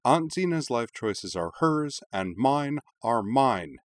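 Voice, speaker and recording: A man's voice: low voice, animated, normal speed. A man voicing an exasperated young woman in an audiobook, clipped, firm and dryly sarcastic. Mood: indignant. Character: young woman, sharp-tongued, exasperated with her mother. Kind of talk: audiobook character voice.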